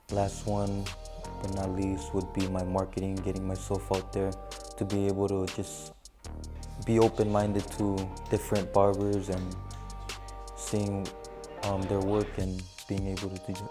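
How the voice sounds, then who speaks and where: A man working as a barber, speaking earnestly and gratefully in a documentary interview. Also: on the low side. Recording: music playing underneath.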